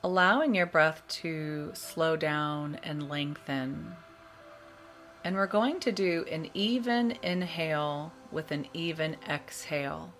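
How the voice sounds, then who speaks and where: A woman leading a guided meditation, giving soothing, measured instructions with long pauses between phrases. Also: sits low, a bit bright, slight background noise. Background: meditation music.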